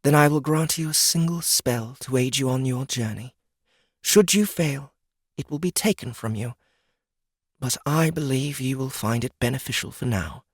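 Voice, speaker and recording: A woman playing a stern goddess of nature in an audiobook, speaking formally and deliberately, with an imperious manner. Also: a bass voice, no room sound, clean studio sound. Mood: measured, imperious. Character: stern, regal goddess of nature, solemn and commanding. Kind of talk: scripted audiobook character acting.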